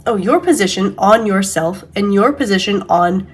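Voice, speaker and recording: A woman with a stuffy nose giving an intuitive tarot reading, speaking slowly and softly, with pauses and restarts. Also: mid-range voice, animated, breathy edge. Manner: slow, soft, searching delivery with pauses and restarts. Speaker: tarot reader.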